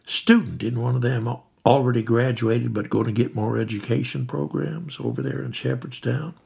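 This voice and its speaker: Brief: a man playing a rowdy male hiking buddy, booming and boisterous, gravelly and husky. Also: steady tone, moderate pace, darkish tone, heavily acted. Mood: confiding.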